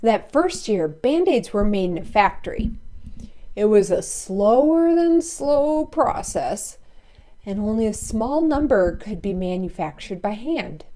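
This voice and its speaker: A woman's voice: medium pitch, expressive, unhurried, warm tone. A woman reading a children's story aloud expressively, with playful asides to listeners. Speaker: storybook read-aloud narrator.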